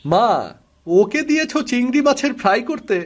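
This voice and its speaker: A man's voice: very high-pitched, animated, normal speed. A man voicing a mischievous, mocking older brother who teases in a children's cartoon. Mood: teasing. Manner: teasing, mocking. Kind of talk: Bengali children's cartoon dubbing.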